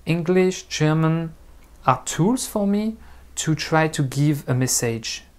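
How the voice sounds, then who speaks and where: French-accented male host, unhurried and halting, frequent filler pauses, off-the-cuff talk to viewers. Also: on the high side, lively intonation, darkish tone. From France. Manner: hesitant, pausing often with ums and uhs. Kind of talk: unscripted talk to camera.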